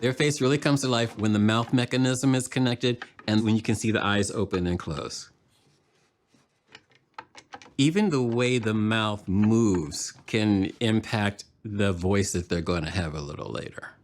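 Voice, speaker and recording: A man speaking as an artist and puppeteer in a reflective documentary interview, measured and explanatory. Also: lowish voice, a little hiss or hum.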